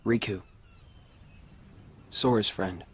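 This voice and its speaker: A man's voice: on the low side, very slow, fairly bright tone, husky, heavily acted. A man playing a guarded, brooding young loner in a video game, reserved and understated. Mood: guarded, quiet. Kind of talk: video game cutscene acting. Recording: music behind.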